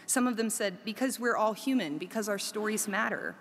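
A woman's voice: medium pitch, lively intonation, fairly bright tone. Earnest woman speaking in a reverberant space. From Korea. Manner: earnest, measured, with emphatic lists. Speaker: professor. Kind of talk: reflective prepared talk.

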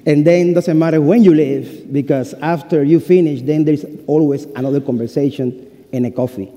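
A man in his forties preaches in English with a Cuban Spanish accent, his voice dark and mellow. He tells jokes about himself between points, and his words ring out with a long reverb in a university chapel. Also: a little high, steady tone, moderate pace. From Cuba. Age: 40s. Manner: humorous, warm storytelling with self-deprecating jokes. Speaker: pastor. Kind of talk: sermon.

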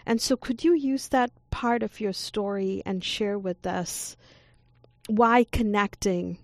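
A woman co-host asking a long, deliberate question that builds step by step. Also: medium pitch, no room sound, studio quality. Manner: deliberate, connects ideas step by step. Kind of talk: measured interview question.

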